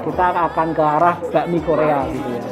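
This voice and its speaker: A man who owns a restaurant answers interview questions in a thoughtful way, pausing often to find his words. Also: fairly high pitch. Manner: thoughtful, pauses with many fillers. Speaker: restaurant owner. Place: busy restaurant. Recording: loud music and crowd chatter. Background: music and diners chattering.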